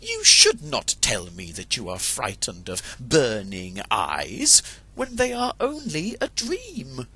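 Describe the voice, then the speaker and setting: A man putting on a gentle hen wife. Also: huge pitch swings, takes it slowly, breathy edge, heavily acted. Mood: chiding. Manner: soothing, high-pitched.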